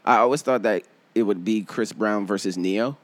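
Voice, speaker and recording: A man's voice: lowish voice, moderate pace, no room sound. Male co-host, rambling casual talk, dead-dry mic. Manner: rambling, many fillers and laughs. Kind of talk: casual podcast chat.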